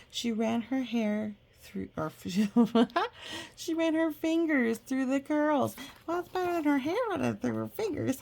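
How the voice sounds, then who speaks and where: A woman reads a picture book aloud at an easy, slow pace. Her voice is raspy with plenty of fry. She slips into chatty asides, explaining gently as if to small children. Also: high-pitched. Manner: expressive, exclaiming and gasping in reaction to pictures.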